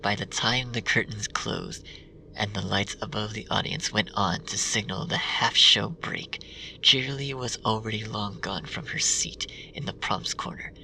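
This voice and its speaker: A man reading a dark fan story aloud in a hushed, half-whispered way. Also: lowish voice, fairly even intonation, measured pace, gravelly, slight background noise. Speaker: fanfiction reader. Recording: distant mic with music bed.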